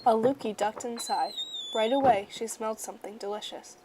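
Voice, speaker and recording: A woman reading a children's story aloud in an expressive, measured storyteller's manner. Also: fairly high pitch, slight background noise, poor audio. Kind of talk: children's picture book read-aloud.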